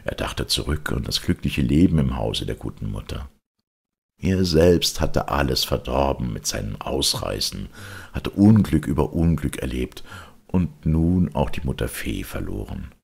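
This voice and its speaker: A man narrates a storybook with a highly animated delivery. His mid-pitched voice is creaky as he reads the scripted tale. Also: a little slow. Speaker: audiobook reader.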